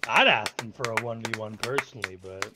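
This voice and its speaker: A man's voice: on the low side, fairly quick. A man chatting casually in online game voice chat. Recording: very noisy game voice chat, clicking.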